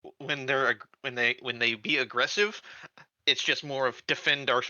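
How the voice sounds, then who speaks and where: A man's voice: mid-range voice, steady tone, normal speed, bright, forceful tone, no room sound, lo-fi sound. A man playing a tabletop game, explaining his moves casually and often rephrasing himself.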